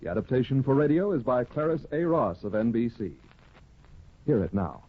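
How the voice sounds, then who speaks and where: A man's voice: mid-range voice, big pitch swings, normal speed, heavy background noise. A man announcing a 1950s radio broadcast in a formal, declamatory way. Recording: dull old radio broadcast over music.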